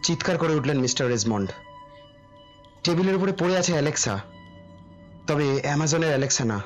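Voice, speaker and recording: A man's voice: a little high, animated, brisk, faint music behind. A man narrating a scripted horror story in a dramatic, suspenseful style.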